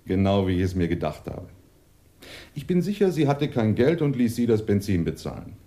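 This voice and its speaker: An actor playing a smooth, polite and easygoing adventurer and junk dealer in a radio drama. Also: male voice, lowish voice, sing-song, normal speed, mellow. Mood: breezy, glib. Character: smooth, obliging male adventurer and junk dealer nicknamed Cat.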